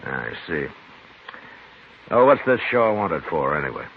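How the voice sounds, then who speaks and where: A world-weary American lawman from a radio western, very deep and gruff. His delivery is laconic and dry, heard through a muffled, faintly hissy old broadcast. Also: sing-song, measured pace, heavily acted. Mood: dry, skeptical. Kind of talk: 1950s radio western acting and narration.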